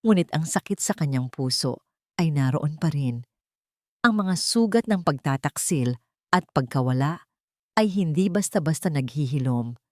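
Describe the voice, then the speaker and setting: A woman with a Filipino accent narrates a dramatic audiobook story. Her voice sits fairly low, and the recording is dry and close, with a scripted storytelling delivery. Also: expressive, moderate pace, a little mellow, dry recording.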